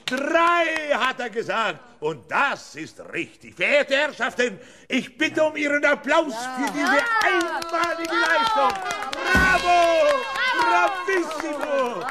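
Radio play ringmaster: very high voice, slow grand delivery, excitable showman. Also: crowd noise, exaggerated voice. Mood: teasing showman. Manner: grandiose, theatrical showman's patter. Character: excitable male circus ringmaster announcing grand attractions. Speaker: circus announcer. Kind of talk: 1970s German children's radio play acting.

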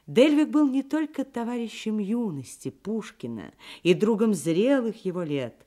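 Woman narrator with animated intonation. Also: mid-range voice, a little slow. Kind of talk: scripted radio documentary narration with verse reading.

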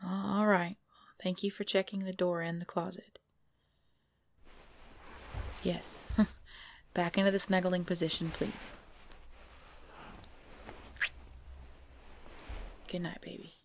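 A woman's voice: on the low side, level tone, unhurried, creaky, long pauses, a little hiss or hum. A woman playing a cute, easily frightened girlfriend at bedtime in an intimate ASMR-style audio roleplay. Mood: tender, sleepy. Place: dry close recording indoors.

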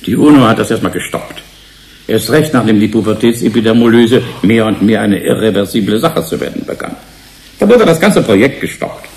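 A man's voice: lowish voice, animated, brisk, vocal fry, a little mellow. A man playing a blunt, unsentimental genetics professor who speaks assertively in a radio play. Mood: matter-of-fact. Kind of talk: German radio play acting.

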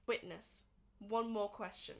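A woman's voice: mid-range voice, steady tone, slow pace. A woman acting a bold, impetuous young man in a radio drama, challenging and dramatic. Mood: questioning.

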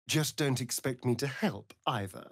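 A man's voice: mid-range voice, moderate pace, no echo, clean studio sound, natural voice. An actor playing a flamboyant, seductive, sardonic male vampire rogue in a video game, with an arch, drawling, mocking delivery. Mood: haughty. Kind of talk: theatrical video game character acting.

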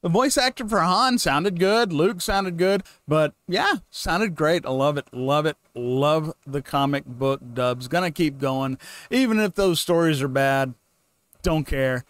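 Higher-voiced middle-aged man, dry close mic. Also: unhurried, a bit bright, dry recording. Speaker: reaction channel host. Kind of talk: casual commentary. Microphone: close desk microphone.

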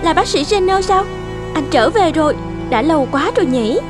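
A woman's voice: high-pitched, lively intonation, moderate pace, over music. A woman voicing a female figure in a dubbed storybook cartoon. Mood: surprised, glad.

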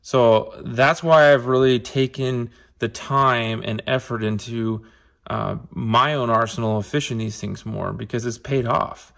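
A man's voice: medium pitch, fairly even intonation, measured pace, creaky, fairly bright tone. A man hosting a fishing show, giving a casual, upbeat tackle tutorial from his shop and talking straight to viewers. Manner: upbeat, conversational, addresses viewers as guys. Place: indoor shop, tabletop demo.

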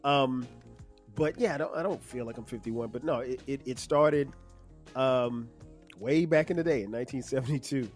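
A man in his fifties from South Carolina, hosting a solo podcast in a relaxed, conversational way with many fillers. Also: mid-range voice, moderate pace, faint music behind. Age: 50s. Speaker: podcast host. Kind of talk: casual solo podcast monologue.

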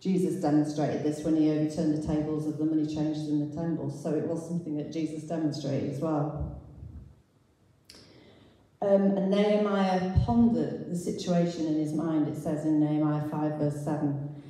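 A woman's voice: deep voice, steady tone, moderate pace, warm tone. A middle-aged woman giving an informal, explanatory sermon with scripture readings as a church speaker in a large hall. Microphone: handheld mic through speakers, picked up by distant camera. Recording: very echoey hall, far from the camera.

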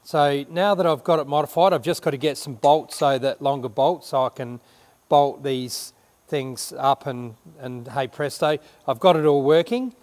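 Middle-aged man, casual and rambling vlog delivery, average pitch, long shed reverb. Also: normal speed, a little mellow. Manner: chatty, rambling, self-correcting. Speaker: renovation vlogger. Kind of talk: casual show-and-tell to camera. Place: large workshop shed indoors.

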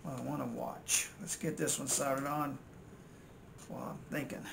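A man's voice: mid-range voice, normal speed, gravelly. An older American man with a folksy way of thinking aloud, casually narrating as he works at a repair bench. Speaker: electronics repair hobbyist and video host. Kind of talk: casual muttered narration while working at repairs. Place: indoors at a repair workbench. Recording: steady noise, home-made workbench recording.

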